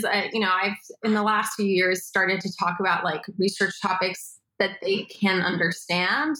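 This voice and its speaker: A woman with a bright, clear-toned voice of middle pitch. She speaks thoughtfully and hesitantly, with many fillers. The sound is roomy and echoey. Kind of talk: casual conversation.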